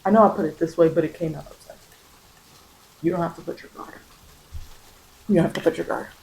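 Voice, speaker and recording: A woman's voice: low-pitched, quick pace, vocal fry, a little mellow, slight background noise, rough recording. Encouraging woman giving a tarot reading. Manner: encouraging, repeats phrases for emphasis. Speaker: tarot reader and ASMR host. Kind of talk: improvised spiritual tarot guidance addressed to listener.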